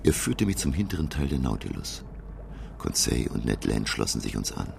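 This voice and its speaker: An actor playing a learned professor who narrates his sea adventure in a radio play, with a gravelly voice. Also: extremely low pitch, big pitch swings, moderate pace, noisy background, exaggerated voice. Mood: measured narration. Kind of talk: dramatic first-person audio drama narration.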